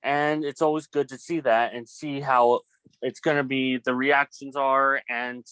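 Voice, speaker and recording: A man talking casually in a group discussion, halting and repeating himself, often leaning on "like". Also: mid-range voice, flat delivery, a bit bright, smooth, no echo. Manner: halting, repetitive, heavy use of "like". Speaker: film production student in a group meeting.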